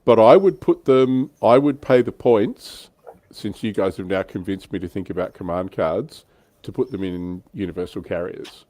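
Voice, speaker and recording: A man's voice: fairly low pitch, moderate pace, warm tone, dry recording. A man hosting a livestream, chatting casually and in a self-deprecating way about his tabletop wargaming hobby. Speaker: livestream host.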